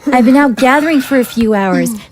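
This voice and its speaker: A woman's voice: high-pitched, a little fast. A woman playing a spirited teenage daughter, earnest and a little defiant. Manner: lively, earnest, a bit defiant.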